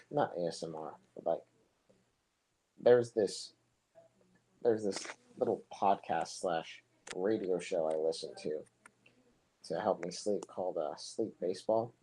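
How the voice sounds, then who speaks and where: A young man giving relaxed, rambling live play-by-play for a soccer match, his voice low and monotone, with chatty asides between plays. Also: a little slow, long pauses, slight background noise, poor audio. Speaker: soccer commentator. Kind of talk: casual live play-by-play commentary.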